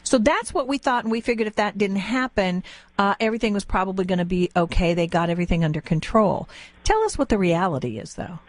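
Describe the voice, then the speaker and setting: A woman hosts a radio interview in a chatty, plain-spoken way. Her pitch is average for a woman, and she repeats words to drive a point home. The sound is very dry, with no room around the voice. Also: quick pace, no echo. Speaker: radio host.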